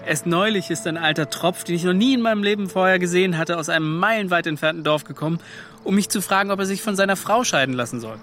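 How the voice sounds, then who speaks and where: An actor playing a brash, modest young adventurer in a radio play, telling his story in an excited, colloquial, scoffing way. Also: sits high, fast. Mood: amused, scoffing. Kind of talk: German radio play acting. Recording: music behind the voice.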